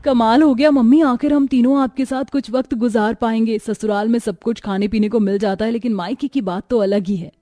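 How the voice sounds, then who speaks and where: A woman's voice: a little high, steady tone, brisk, few pauses, warm tone, no room sound, lightly acted. A woman voicing spoiled, snobbish young married daughters in a cartoon story, with an entitled, demanding manner. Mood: gleeful. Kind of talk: acted Hindi cartoon story dialogue.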